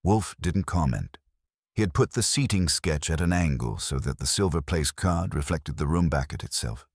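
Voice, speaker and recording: A man narrating a scripted first-person detective story in a dry, wry, measured way. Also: a bass voice, lively intonation, breathy edge, no echo. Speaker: audiobook narrator.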